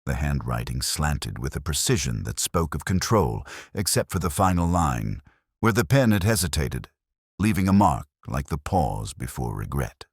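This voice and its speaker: Man playing reflective police inspector, audio mystery narration, brooding, literary, husky voice, close dry sound. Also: extremely low pitch, big pitch swings, moderate pace, no echo, heavily acted. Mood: brooding, observant. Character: brooding male police inspector recounting a murder case. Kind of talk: first-person scripted detective story narration.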